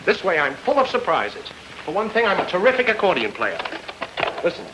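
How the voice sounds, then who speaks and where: Wisecracking, reluctant private eye, old radio mystery, higher-set voice, noisy transfer. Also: male voice, huge pitch swings, fairly quick. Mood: playful. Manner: dry, sarcastic wisecracks. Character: wisecracking, reluctant newlywed private detective, put-upon assistant. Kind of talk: old-time radio detective drama acting.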